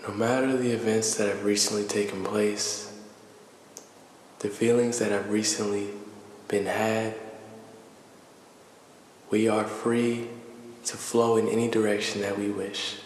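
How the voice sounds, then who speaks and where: A man leading a guided meditation in a calm, deliberate, soothing manner, pausing between measured phrases. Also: fairly low pitch. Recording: echoey reverberant voice with faint noise.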